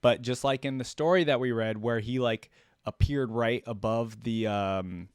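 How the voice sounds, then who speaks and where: A man's voice: medium pitch, fairly even intonation, moderate pace, clean tone, no echo, studio quality. A man hosting a reaction video, commenting informally and enthusiastically as he thinks aloud.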